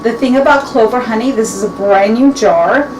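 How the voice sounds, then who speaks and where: A woman of about forty-two talks casually and chattily, often circling back and restarting her sentences. She is recorded by a camera mic set some way off in a kitchen that sounds echoey. A steady household hum runs clearly underneath. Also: a little high, moderate pace. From Mexican heritage. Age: 40s. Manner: chatty, rambling with frequent restarts and fillers. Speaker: beauty vlogger. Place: home kitchen, indoors. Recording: echoey room, distant mic, clear background noise.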